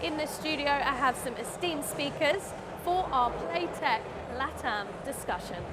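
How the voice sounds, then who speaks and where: High-voiced female host over loud noise. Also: lively intonation, measured pace. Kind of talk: hosting a panel.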